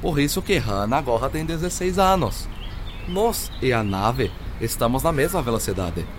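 A learned physicist in a radio play played by a man explains things with formal courtesy. His pitch is mid-range and very animated, set over noisy ambience with birds. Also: moderate pace, lightly acted. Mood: patient explaining. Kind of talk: radio drama acting.

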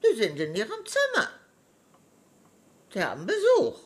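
Radio play: a God-fearing old grandmother, slow and high, deferential to authority, plaintive. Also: wildly swooping pitch. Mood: meek, uneasy. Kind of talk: radio crime drama acting.

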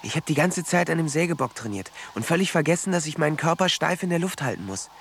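A man's voice: fairly high pitch, expressive, fast, fairly bright tone, a little hiss or hum. A man playing a dreamy, obsessive teenage boy in a radio drama, speaking in an absorbed, earnest way. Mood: confident, matter-of-fact. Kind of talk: German radio drama acting.

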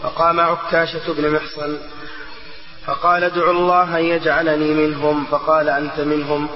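Even-toned man reading aloud, very echoey. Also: a little high, brisk. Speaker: student reader of the text. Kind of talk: reading a religious text aloud. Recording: muffled, very echoey hall.

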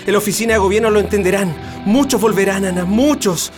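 An actor playing a heartfelt, hopeful Chilean exile with a Chilean accent in a radio drama, music behind him. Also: male voice, high voice, fast talker, natural voice. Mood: hopeful, pensive. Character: Chilean male exile in Sweden, emotional, hopeful.

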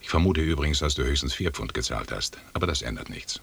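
Very deep, husky expedition commander. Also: male voice, animated, heavily acted. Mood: calm, stern. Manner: measured, formal, authoritative. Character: calm, imperious British expedition leader. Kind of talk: German radio drama acting.

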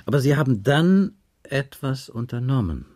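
A man's voice: mid-range voice, animated, moderate pace, a little mellow, natural voice. A man playing a patient, dry investigator in a radio crime drama, asking terse, probing questions and musing as he goes. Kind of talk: 1980s German radio drama dialogue.

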